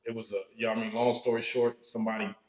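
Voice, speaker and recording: A man in his thirties, a podcast guest telling his own story in a slow, reflective, casual way, often checking in with "you know what I'm saying". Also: fairly low pitch, level tone. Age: 30s. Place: podcast studio room. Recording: roomy studio echo.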